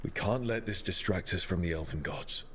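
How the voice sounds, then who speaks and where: An actor playing a steady, supportive male team leader in a fantasy game, calm and reassuring. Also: deep voice, moderate pace, a little hiss or hum. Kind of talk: video game character dialogue.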